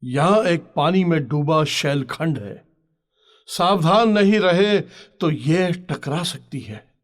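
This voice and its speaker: A man's voice: fairly high pitch, expressive, takes it slowly. A man playing a submissive ship's captain in a dramatised audio story, with a theatrical delivery. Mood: informative. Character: male ship's captain, defeated and submissive seafarer.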